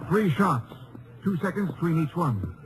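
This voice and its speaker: An American actor playing a folksy, agreeable country sheriff in an old radio western, with a drawling manner. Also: male voice, on the high side, big pitch swings, unhurried. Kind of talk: 1950s radio western acting. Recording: noisy old radio recording.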